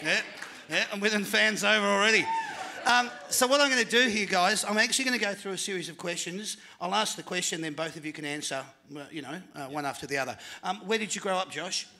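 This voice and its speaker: A man's voice: high-pitched, fast, vocal fry, sharp tone. A middle-aged Australian man hosting a live panel show, chatty and jokey, speaking into a handheld mic in a function room. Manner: chatty, jokey, works the crowd.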